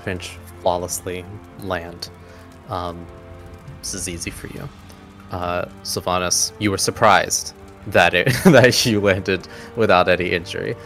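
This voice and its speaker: Male game master, low voice, loose improvised tabletop narration over faint music. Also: fairly even intonation, unhurried, a bit bright. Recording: music bed behind voice. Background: background music.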